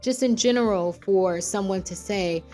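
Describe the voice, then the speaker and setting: A woman's voice: mid-range voice, moderate pace, mellow, soft background music. An American woman hosting a show, telling personal stories in a casual, conversational and reflective way, often checking in with her listeners. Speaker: podcast host.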